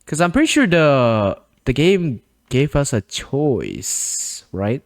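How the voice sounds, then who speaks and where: A man's voice: mid-range voice, very animated, slow. A man streaming a video game live, chatting casually and reacting aloud to what happens.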